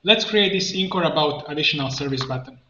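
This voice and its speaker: A man with a fairly high, bright voice talks through a software tutorial step by step. His delivery is slow and halting, as if thinking aloud while he clicks. The recording is muffled and lo-fi. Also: animated. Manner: halting, instructional, narrating each click. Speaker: coach giving a software tutorial. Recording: muffled lo-fi, roomy echo, background noise.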